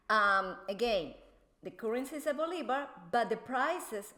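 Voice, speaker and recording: A Venezuelan woman speaking English as a second language, explaining things to a show host in a halting way with many fillers. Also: a little high, a bit bright. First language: Spanish. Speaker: guest. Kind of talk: casual explanatory chat.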